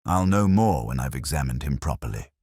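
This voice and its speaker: A man voices a blunt male police doctor in a mystery story. The speech is clipped and clinical, with wide swings in pitch and a husky touch. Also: expressive, fairly quick, darkish tone, no echo, studio quality. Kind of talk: mystery audiobook character reading.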